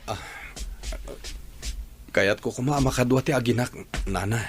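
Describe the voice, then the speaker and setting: A man's voice: medium pitch, measured pace, breathy edge, no echo, faint music behind. A man playing a subdued, apologetic partner in a radio drama, speaking meekly and hesitantly. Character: subdued, apologetic man facing an angry household.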